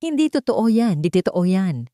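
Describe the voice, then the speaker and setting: A woman's voice: big pitch swings, normal speed, warm tone, dry recording, studio quality, lightly acted. A woman voicing a cornered, scheming daughter-in-law who cries out in a strained, pleading way. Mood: desperate. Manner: shrill and pleading.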